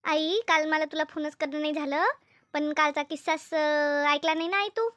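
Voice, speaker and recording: Woman voicing a shrill, grumbling cartoon village housewife. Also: steady tone, moderate pace, a bit bright. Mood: chatty. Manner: colloquial, repetitive, grumbling. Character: Shanta, complaining rural Marathi housewife and mother. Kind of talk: comedy cartoon dubbing, everyday domestic chat.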